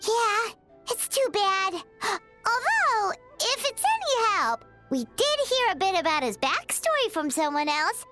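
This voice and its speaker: Game role: tiny curious fairy girl, childlike squeak, very animated, bright tone. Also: slow pace, faint music behind, full character voice. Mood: helpful. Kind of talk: video game voice acting.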